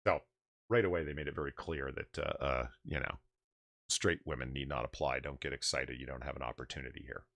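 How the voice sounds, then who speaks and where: A man hosting a live comics news stream, ranting with a booming voice and plenty of profanity. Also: fairly even intonation, a little fast, fairly bright tone, dry recording. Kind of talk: opinionated live-stream commentary.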